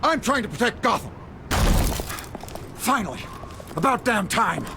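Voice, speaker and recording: An actor playing a corrupt middle-aged mayor in a video game, blustering, indignant and easily rattled. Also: male voice, very high voice, very animated, unhurried, vocal fry, noisy background, heavily acted. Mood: bitter, justifying. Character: corrupt, panicky middle-aged male city mayor, blustering and self-serving. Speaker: politician character. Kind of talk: dramatic video game acting.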